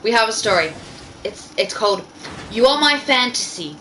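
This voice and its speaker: Woman, bright and forceful tone, casual amateur story reader in a group chat, faint background noise. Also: a little high, animated, moderate pace, poor audio. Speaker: fan fiction reader in a group show.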